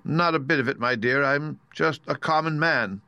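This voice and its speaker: A man's voice: a little high, moderate pace, pushed and bright, no echo, voice pushed up. A man voicing a meek, pleading little old fake wizard with a trembling voice, in a storybook reading. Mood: meek, confiding.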